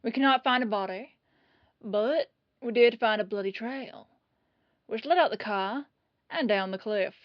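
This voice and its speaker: A woman playing a dogged police detective in an audio roleplay, questioning in a folksy, falsely casual way with pauses. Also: fairly high pitch, dry recording, highs cut off, natural voice. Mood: businesslike probing. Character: dogged female police detective, casually probing, quietly suspicious.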